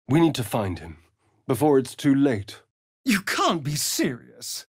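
A man's voice: mid-range voice, wildly swooping pitch, measured pace, breathy edge, no room sound, clean studio sound, heavily acted. A man playing a vain, sardonic aristocratic vampire rogue in a video game, with a British accent and an arch, theatrical drawl. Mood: rueful, then indignant. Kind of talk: video game character acting.

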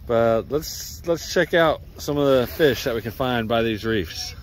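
A man's voice: medium pitch, a little slow. A man hosting a kayaking vlog, chatting casually and laughing easily while wading in shallow sea water, recorded on an action camera. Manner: easygoing, chatty, laughs often. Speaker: kayaking channel host. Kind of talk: casual outdoor vlog narration. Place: outdoors in shallow sea water by rocks and a beach. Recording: outdoor action camera with loud water noise.

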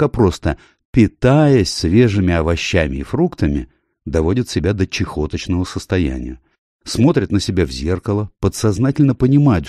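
A man reading a nonfiction audiobook in measured phrases, stressing key words, close to the microphone. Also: low-pitched, mellow, no room sound. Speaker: audiobook narrator. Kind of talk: scripted nonfiction audiobook narration.

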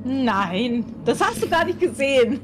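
A woman's voice: sits high, sing-song, a little slow, noisy background. A woman chatting casually as a player in an online tabletop role-playing game. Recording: music bed under voice.

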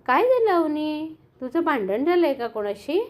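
A woman doing a calm, patient mother. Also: high voice. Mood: gentle. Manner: soothing, reasoning, measured. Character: calm, patient mother giving gentle advice.

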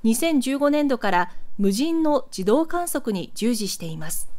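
High-pitched woman narrating an archive newsreel. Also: a little mellow. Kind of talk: scripted documentary narration.

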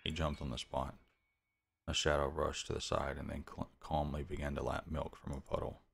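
A young man with an extremely low voice, thick with vocal fry, reads a visual novel aloud as he plays. He slips between story narration and casual streamer asides. Music plays clearly behind him. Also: level tone, normal speed, fairly bright tone. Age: young adult. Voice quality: gravelly. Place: small room at home, indoors. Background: game music.

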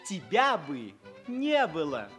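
An excitable, teasing clown of a servant in a theatrical radio comedy. The voice is high and sharp and leaps about in pitch, with faint music behind. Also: male voice, slow pace, exaggerated voice. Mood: explaining. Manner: needling, exclamatory.

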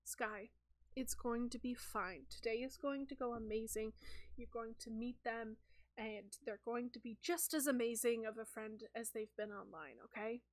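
A woman voicing a male purple sock puppet in a cartoon, speaking softly and calmly to reassure a friend. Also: a little high, slow, long pauses, heavily acted. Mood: soothing. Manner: soft, calm, soothing.

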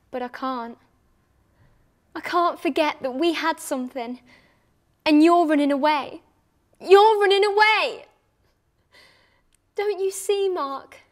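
A woman acting a desperate lover, pleading emotionally and insistently in a halting dramatic monologue. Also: high voice. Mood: desperate, accusing.

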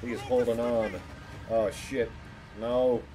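A man's voice: mid-range voice, steady tone, takes it slowly, warm tone. A man casually reacting to a video game as he plays, muttering exclamations and swearing freely. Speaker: game streamer. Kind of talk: casual live gameplay reaction. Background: game music and effects.

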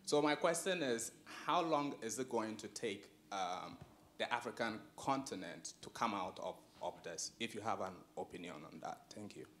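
Hesitant low-voiced man asking questions. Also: lively intonation, moderate pace, a bit bright, a little hiss or hum. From Ghana. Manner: polite, hesitant, many "um"s. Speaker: audience member asking a question. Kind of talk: audience question. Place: lecture theatre.

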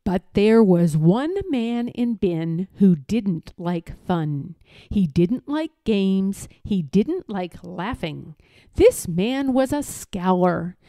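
A woman reading a children's storybook aloud as a storyteller, expressively and with deliberate, dramatic pauses. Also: medium pitch, animated, mellow, dry recording, studio quality.

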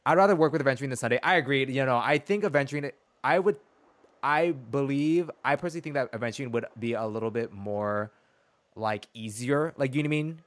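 A man's voice: mid-range voice, quick pace. Sassy young man streaming. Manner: expressive, sassy, slangy. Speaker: game streamer. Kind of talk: casual chat and reaction to a story game. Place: small dim room indoors.

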